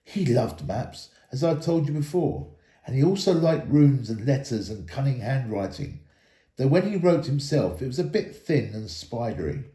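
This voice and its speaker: A man reading a children's story aloud in an expressive storytelling style. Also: mid-range voice, normal speed, roomy sound. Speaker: storybook reader, school staff member.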